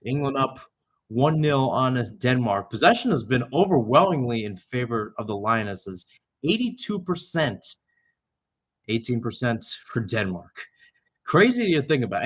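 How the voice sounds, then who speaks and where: A man's voice: medium pitch, very animated, a little slow, fairly bright tone, husky. A young man giving live radio-style soccer play-by-play, drifting into conversational, rambling asides and correcting himself as he goes. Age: young adult. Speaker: play-by-play commentator.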